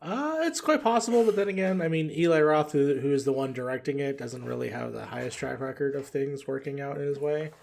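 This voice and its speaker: A man's voice: medium pitch, a little fast, gravelly, few pauses, darkish tone, a little hiss or hum. A man chatting casually and giving his opinions in a rambling way as a podcast guest. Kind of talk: casual chat.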